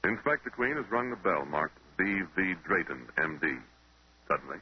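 Old time radio announcer guy, lively delivery, scripted, muffled recording. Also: low-pitched, normal speed, slight background noise.

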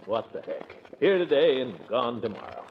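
Pompous, swooping middle-aged bachelor, noisy old radio. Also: male voice, mid-range voice, huge pitch swings, moderate pace, gravelly. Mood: brash, defiant. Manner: blustery, theatrical, with sudden swoops. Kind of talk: 1940s radio comedy acting. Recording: noisy, muffled 1940s radio recording.

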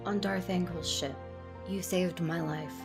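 A woman's voice: fairly low pitch, fairly even intonation, measured pace, music in the background. A woman playing a stern, wounded Jedi master in a science fiction audio drama, grave and guarded. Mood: guarded, probing.

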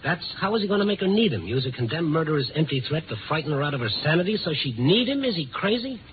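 An American actor playing a tough, wry newspaper reporter in a 1950s radio drama, slangy and protective, sometimes narrating in noir style. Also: male voice, on the high side, very animated, fast talker, noisy background. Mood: pressing, sardonic. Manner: hard-boiled, slangy. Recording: tinny old 1950s radio recording, music behind.

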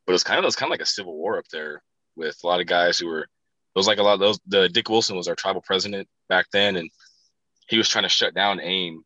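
A man's voice: low voice, fast talker, sharp tone, no room sound, highs cut off. A laid-back man chatting casually, rambling through family stories and laughing often. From South Dakota. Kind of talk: casual chat.

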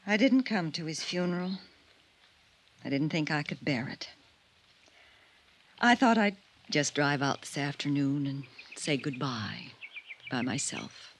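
An actress playing a frail, gentle little woman in a 1950s radio drama, speaking in a wistful, genteel manner. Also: sits low, measured pace, long pauses, slightly rough, heavily acted. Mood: wistful, tender.

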